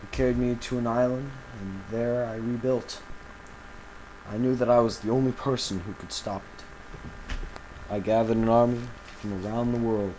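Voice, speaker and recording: A man playing an embattled villain recording a grave confessional audio log, speaking haltingly and solemnly with dramatic pauses. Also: medium pitch, steady tone, dark tone, slight background noise, natural voice, poor audio. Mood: bitter regret, then determined, grave.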